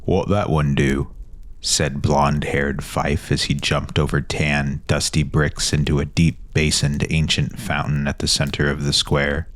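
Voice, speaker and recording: A man reads scripted fantasy narration in an exceptionally deep voice. The delivery is measured, descriptive and dramatic. The recording is dry. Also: monotone, a bit bright, no echo.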